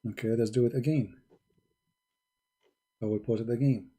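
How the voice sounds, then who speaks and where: Male host with an Arabic accent, dark husky voice, pushy debate style. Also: medium pitch, steady tone, moderate pace. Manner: insistent, reads out sources, talks over the caller. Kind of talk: live-stream debate.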